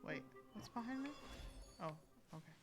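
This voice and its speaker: A woman's voice: mid-range voice, lively intonation, normal speed. A woman giving casual live gameplay commentary, rambling along and playfully talking to the game. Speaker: game streamer. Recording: game music behind the voice. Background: loud video game music.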